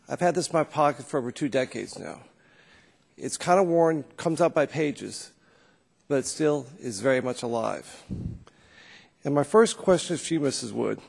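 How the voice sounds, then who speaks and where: A man's voice: medium pitch, creaky, darkish tone, husky. A man from Texas, a congressman questioning witnesses at a formal hearing in a folksy, pointed way with short punchy questions. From Texas, United States. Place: legislative hearing room. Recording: long reverb of a hearing room.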